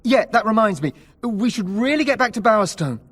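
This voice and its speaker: A man playing a panicky, cowardly, bickering young character in a fantasy video game, whiny and excitable. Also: high-pitched, fairly quick. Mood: uneasy, bickering.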